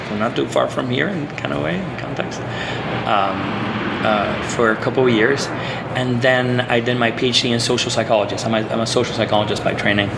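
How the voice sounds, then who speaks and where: A man's voice: mid-range voice, gravelly, very noisy. A man from Puerto Rico, a social psychologist, talking earnestly as a guest in an interview, with frequent restarts and fillers. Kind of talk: conversational interview.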